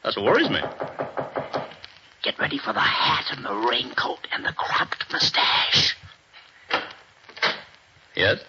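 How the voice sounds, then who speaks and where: An actor playing an earnest young American diplomat husband in a 1940s radio drama, excitable in delivery. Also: male voice, mid-range voice, takes it slowly, pauses often. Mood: anxious. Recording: dull old radio broadcast with faint hiss.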